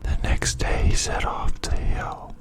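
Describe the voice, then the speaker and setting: Whispering man reading a bedtime story. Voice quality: soft, breathy unvoiced whisper. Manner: slow, hushed, phrase-by-phrase delivery.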